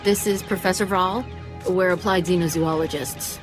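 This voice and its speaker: A keen woman scientist voiced by an actress with a fairly low voice. She is brisk and analytical, in game cutscene style, over clear music. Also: fairly even intonation, close to an everyday voice. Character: keen woman field scientist studying alien creatures. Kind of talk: video game cutscene acting.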